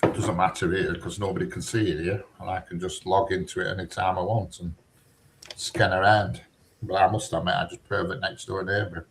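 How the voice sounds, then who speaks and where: A low-voiced, middle-aged man talks in a loose, rambling way. He is cheeky and chatty, full of dialect turns. A faint hiss sits under the recording. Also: level tone, fairly quick, poor audio. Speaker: conversation partner. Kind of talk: casual chat.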